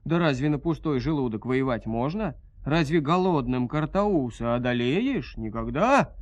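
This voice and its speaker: A man narrating a 1970s audio fairy tale in an animated, folksy, theatrical manner. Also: medium pitch, moderate pace, no echo, muffled recording. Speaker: storyteller narrator.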